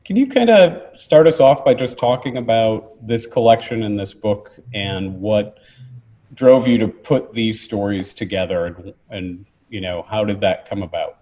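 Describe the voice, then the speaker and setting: A man's voice: fairly low pitch, unhurried, dark tone. A man moderating an online book talk, introducing the guest with many fillers. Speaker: event moderator.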